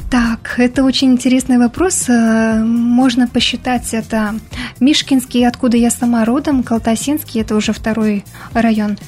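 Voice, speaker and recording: A young woman from Bashkortostan speaks as a guest in a radio studio. Her voice sits a little high, and she explains things earnestly, pausing on fillers now and then. Faint music plays under her. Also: steady tone, a little mellow, husky. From Bashkortostan, Russia. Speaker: radio guest, Mari language activist. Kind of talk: radio interview answers.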